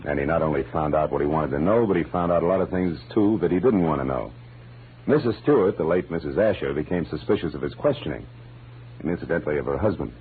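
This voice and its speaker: An actor playing a wry, world-weary American private detective in 1940s radio noir, with sardonic, slangy narration. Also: male voice, a bass voice, expressive, quick pace, husky, exaggerated voice. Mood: wry, explaining. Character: wry, hard-boiled male private detective, narrating his cases. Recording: muffled vintage radio recording, music behind.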